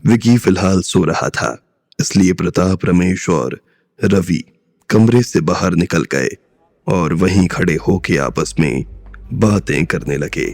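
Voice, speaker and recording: A man narrating an audio drama in a steady, scripted storytelling manner. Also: low voice, takes it slowly, no room sound, studio quality.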